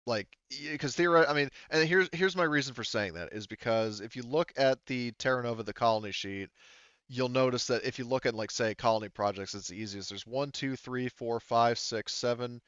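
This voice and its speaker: A man running a tabletop roleplaying game as game master, casually talking through rules and haltingly thinking aloud. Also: mid-range voice, fairly bright tone, smooth, dry recording, muffled recording.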